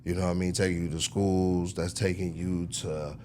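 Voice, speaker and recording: A man's voice: sits low, little pitch movement, moderate pace, darkish tone. A man speaking as a music executive in a casual interview, in slangy talk peppered with "you know" and "man".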